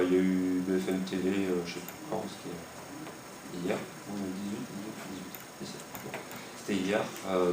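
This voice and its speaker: Low-voiced man, hesitant, roomy distant sound. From Maine-et-Loire, France. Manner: hesitant, many fillers and restarts. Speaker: website creator interviewed. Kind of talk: casual spoken explanation. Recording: echoey room, mic far off, noisy.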